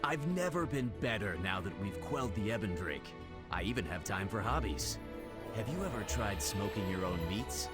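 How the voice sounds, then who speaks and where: Male actor as hearty game general, jovial, teasing, courteous, lively intonation, loud orchestral backing. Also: lowish voice, normal speed, close to an everyday voice. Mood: jovial, welcoming. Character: hearty genial male general escorting an honored guest. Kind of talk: video game cutscene acting. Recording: loud orchestral music behind.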